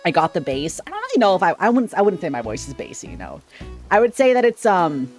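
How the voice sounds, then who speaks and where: A man chatting casually on a livestream with a slightly shrill, sharp-sounding voice, rambling playfully and tripping over his words. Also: wildly swooping pitch, quick pace, soft background music. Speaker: streamer. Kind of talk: casual livestream chat with viewers. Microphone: desk microphone.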